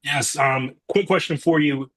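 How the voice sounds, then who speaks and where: A man's voice: medium pitch, unhurried, a bit bright. A man new to acting, asking a question in a casual, hesitant way.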